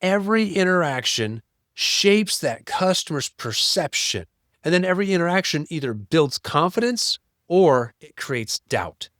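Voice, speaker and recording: Higher-pitched man with a lively, instructive delivery. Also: no room sound, clean studio sound. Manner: instructive, rhetorical questions, emphatic lists. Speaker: presenter of marketing tips for business owners. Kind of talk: explainer talk to business owners.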